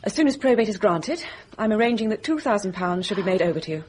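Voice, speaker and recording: An actress playing a composed, formal young gentlewoman hiding hurt in a British radio mystery drama. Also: mid-range voice, animated, fast, a little hiss or hum, close to an everyday voice. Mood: formal, reserved. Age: young adult. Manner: controlled, formal, decisive.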